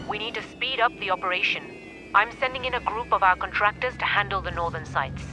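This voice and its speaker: A woman's voice: mid-range voice, quick pace, over music, close to an everyday voice. An actress playing a businesslike female mining director in a video game, giving composed, authoritative briefings. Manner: crisp, composed, instructing.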